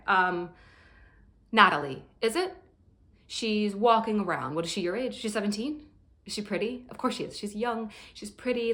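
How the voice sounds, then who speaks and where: An actress plays an exasperated woman dressing down a teenage boy. Her delivery is blunt, sarcastic and cutting at a middle pitch, in the manner of a stage drama monologue. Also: fairly bright tone. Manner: sarcastic, cutting, foul-mouthed.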